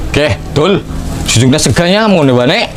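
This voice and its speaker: A suspicious, bossy boss played by a man who talks in a broad Tegal Javanese accent and keeps snapping short orders at his helpers. The recording is a noisy amateur clip with steady hiss underneath. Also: a little high, close to an everyday voice. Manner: scolding, quick commands. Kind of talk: comedy skit acting.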